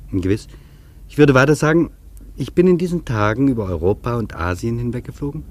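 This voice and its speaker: An actor playing an earnest, reflective traveller in a scripted radio feature, speaking deliberately and rhetorically. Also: male voice, mid-range voice, animated, a little mellow, a little hiss or hum, close to an everyday voice. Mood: earnest, circling. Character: earnest reflective male traveller recounting an imagined interview. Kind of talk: scripted German radio feature, literary narration.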